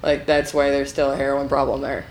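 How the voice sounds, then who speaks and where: A woman from Washington state with a low-pitched voice tells stories on a casual podcast. She talks in a chatty way, full of fillers, over a faint noise floor. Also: monotone, a little fast, darkish tone. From Washington state, USA. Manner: chatty, filler-heavy storytelling. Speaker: podcast co-host. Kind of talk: casual chat.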